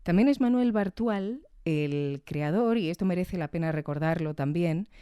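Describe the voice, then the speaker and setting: Female podcast host, mellow smooth voice, reflective solo reviewer, conversational delivery. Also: fairly low pitch, lively intonation, normal speed, no room sound, clean studio sound. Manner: reflective, frequently seeking agreement with tag questions.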